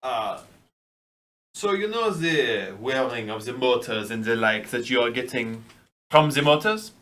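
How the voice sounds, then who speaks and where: A man's voice: medium pitch, expressive, a little slow, fairly bright tone. A man playing a tabletop game who argues with formal, stilted phrasing. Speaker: player. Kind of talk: casual tabletop play.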